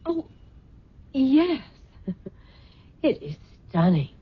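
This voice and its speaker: Animated, imperious fashion designer. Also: female voice, sits high, drawn-out words, heavily acted. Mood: admiring. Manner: breathy exclamations, imperious, theatrical. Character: bold, scheming, excitable female fashion designer. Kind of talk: radio drama acting. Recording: dull old radio recording, music behind.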